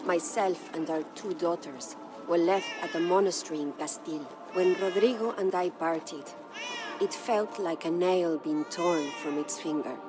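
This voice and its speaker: An actress playing a devoted, sorrowful medieval Spanish noble wife who narrates her husband's legend in a solemn, measured way for a game. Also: fairly low pitch, close to an everyday voice, low-quality recording. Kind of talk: scripted dramatic storytelling narration. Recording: studio narration over prominent music.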